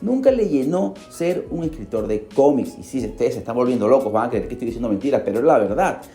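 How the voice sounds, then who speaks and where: A man's voice: lively intonation, fast talker, dark tone, reverberant, soft background music. A man hosting a radio podcast, telling a story in a theatrical way and speaking straight to the listener. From Peru. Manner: theatrical, addresses listener directly, swings pitch for emphasis. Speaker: podcast host. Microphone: studio microphone.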